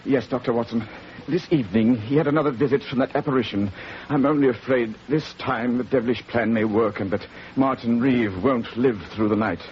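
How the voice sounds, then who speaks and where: A man playing a grave country doctor, medium-pitched. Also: fairly quick, lightly acted. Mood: alarmed, grave. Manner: urgent, grave. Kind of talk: 1940s radio acting. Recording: dull, hissy 1940s radio recording.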